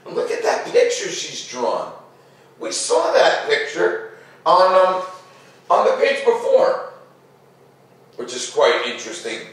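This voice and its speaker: A middle-aged man reading a storybook aloud to children with casual commentary, expressive and drawing out words as he reads. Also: on the high side, takes it slowly, pauses often. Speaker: read-aloud host. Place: living room, seated in armchair by fireplace. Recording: roomy echo, distant microphone.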